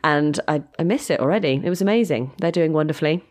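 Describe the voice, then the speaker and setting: A woman's voice: low-pitched, fast, darkish tone. A British woman co-hosting a podcast, chatting casually in an effusive, gushing way. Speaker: podcast co-host.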